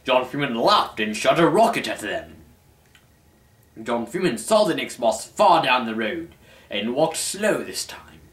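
Theatrical young man, very animated, roomy sound. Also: mid-range voice, unhurried. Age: young adult. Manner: theatrical, pompous, formal. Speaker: host and reader. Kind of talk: mock-dramatic story reading. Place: small dim room at home. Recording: roomy, distant camera microphone.

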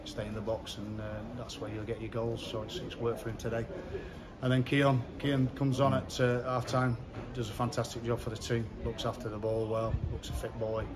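A middle-aged football manager giving a measured, halting post-match interview outdoors in a covered stand, with many restarts. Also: male voice, medium pitch, monotone, noisy background.

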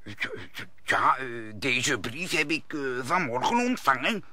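An actor playing a fretful landlord and husband in a radio play, nervous and halting, stammering as he speaks. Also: mid-range voice, sing-song, sharp tone, no room sound, heavily acted. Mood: agitated, stammering. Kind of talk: Dutch radio play acting.

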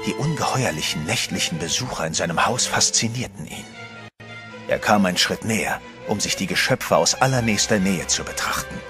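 A man narrating a horror radio play in the first person, tense and dramatic. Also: lowish voice. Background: music.

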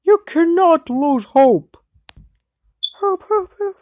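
Animated woman acting in an anime fandub. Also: extremely high pitch, slow, dry recording. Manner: exclamatory, theatrical. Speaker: amateur voice actor for an anime fandub. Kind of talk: acted cartoon dub clips.

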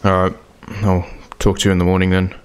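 Guy doing a cautious measured apocalypse survivor, smooth flat voice, game roleplay. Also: low voice, close to an everyday voice. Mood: tired, calm. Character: cautious, guarded male survivor in hiding. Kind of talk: improvised game roleplay drama.

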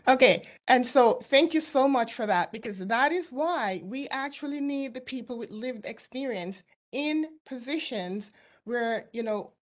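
A middle-aged woman giving an earnest, measured keynote talk over a video call from her office, with some fillers and restarts. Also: fairly high pitch, animated. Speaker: keynote speaker. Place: office with window behind. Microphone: laptop webcam on video call.

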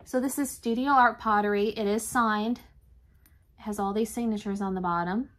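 A woman, chatting casually in a home craft room, slightly raspy and congested from allergies, clearing her throat now and then. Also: mid-range voice, fairly even intonation, normal speed, a bit bright. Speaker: reseller vlogger. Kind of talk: casual shop-with-me haul chat.

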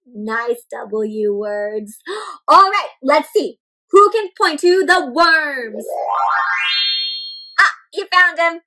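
A young woman hosting an interactive picture-book read-aloud for small children, expressive and animated, asking them questions as she goes. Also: high-pitched, very slow, long pauses, soft background music, rough recording. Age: young adult. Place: indoor room at home with plants and bookshelf.

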